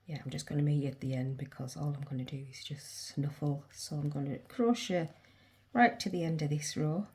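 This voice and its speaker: Woman host, northern English, low mellow voice, stuffy cold, unhurried chatty crochet walkthrough. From England. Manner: chatty, talks to herself while working. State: congested with a cold, sniffly. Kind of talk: casual crochet demonstration.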